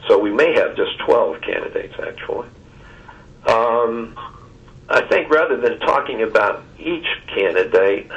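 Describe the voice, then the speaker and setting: A man giving a formal briefing on a conference call in a halting, deliberate and measured way, with long hesitations. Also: sits low, vocal fry, fairly bright tone. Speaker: search consultant reporting to a committee. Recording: dull, distant conference phone line.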